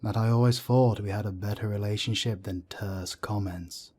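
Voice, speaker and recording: A calm, fatherly werewolf elder, voiced by a man in an audio drama, deep and husky with a dark, mellow tone. He speaks softly, often close to a hush, with a patient, gently chiding manner. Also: moderate pace. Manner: soft-spoken, gently chiding. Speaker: council elder.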